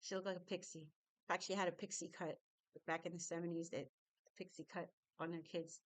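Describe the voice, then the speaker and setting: A middle-aged woman from New York telling her childhood story, halting and reflective, with frequent fillers. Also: lowish voice, level tone, no echo. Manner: reflective, halting with fillers, laughs through painful memories. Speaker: singer. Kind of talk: personal storytelling.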